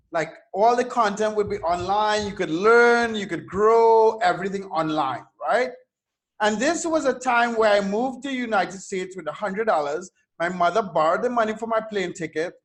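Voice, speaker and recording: A man in his thirties from Trinidad and Tobago with a notably high voice. He talks as an interview guest, animated and loose, dropping curses and stumbling over repeated words as he tells his story. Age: 30s. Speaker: guest entrepreneur.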